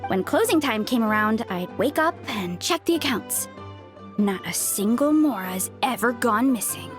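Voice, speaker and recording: A woman's voice: fairly high pitch, animated, measured pace. A woman voicing a cheerful, easygoing young fruit seller in an anime-style video game, friendly and earnest. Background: game music.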